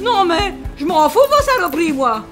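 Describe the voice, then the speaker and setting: A woman playing a grumpy, foul-mouthed sled driver who complains gruffly, with loud music behind. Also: very high voice, very animated, a little fast.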